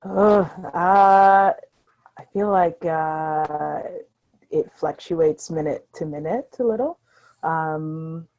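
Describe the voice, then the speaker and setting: A woman's voice: low voice, a little mellow, low-quality recording. A woman on a panel talking informally and reflectively, often searching for her words. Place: online video call.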